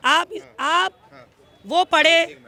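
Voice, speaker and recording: Impassioned woman, very high bright voice. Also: drawn-out words. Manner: impassioned, argumentative, insistent. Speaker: member of the public debating. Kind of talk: heated street debate.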